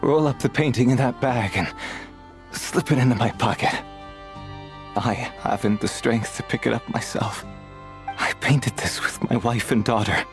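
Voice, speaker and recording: An actor playing a dying, destitute old thief in a video game, with a weak, breathy voice and a halting, resigned, wry manner. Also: male voice, mid-range voice, soft background music, close to an everyday voice. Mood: sorrowful, resigned. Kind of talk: dramatic video game voice acting.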